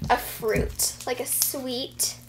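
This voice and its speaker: A woman's voice: sits high, steady tone, drawn-out words. A young teenage girl hosting a casual, chatty review to camera in an enthusiastic, gushing way. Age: young teen. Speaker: vlog host reviewing slime. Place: carpeted bedroom, indoors. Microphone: camera a few feet away. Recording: slightly noisy room recording with clicks.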